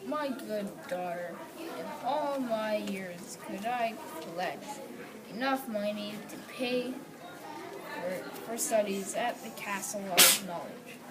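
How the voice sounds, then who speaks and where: A woman's voice: medium pitch, slow, natural voice. A female reader playing a poor, kindly, resigned father in a readers theatre play. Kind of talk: readers theatre, reading from a script. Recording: distant mic, very noisy room.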